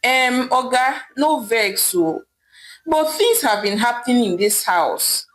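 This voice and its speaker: A woman's voice: on the high side, lively intonation, takes it slowly, a bit bright, low-quality recording. A woman voicing a nervous, stammering housemaid with a Nigerian accent in a told story. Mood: nervous, hesitant. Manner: hesitant, stammering. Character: nervous housemaid, fidgeting and stammering before her boss. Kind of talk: storytelling character voice.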